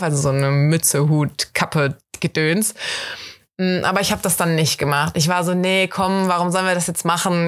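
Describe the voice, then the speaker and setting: A young woman from Germany, hosting a podcast, telling a story in casual, chatty fashion and acting out the quoted bits of dialogue. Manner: chatty and animated, voices quoted dialogue with big pitch jumps. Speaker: podcast host.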